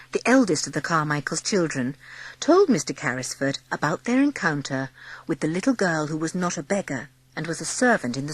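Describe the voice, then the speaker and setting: A woman narrates a storybook audiobook in a slightly low, husky voice. Her scripted reading moves with lively, varied intonation. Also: no room sound.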